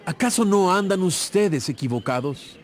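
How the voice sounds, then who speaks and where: A man's voice: sits high, animated, moderate pace. A man acting an authoritative, composed holy teacher in a dramatized audio Bible, speaking solemnly and emphatically. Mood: challenging. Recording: music playing behind.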